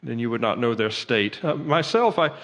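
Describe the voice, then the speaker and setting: Formal, pedantic professor in reverb. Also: male voice, medium pitch, expressive, moderate pace, fairly bright tone. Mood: uneasy, tactful. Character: fussy, pompous male astronomy professor, a stranger in town. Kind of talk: radio drama acting. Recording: reverberant, echoey hall sound.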